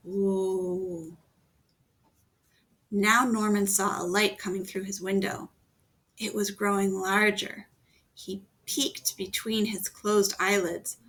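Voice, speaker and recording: A woman reading a children's picture book aloud, gently pausing to ask her young listeners questions. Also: medium pitch, slightly rough. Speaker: storytime reader.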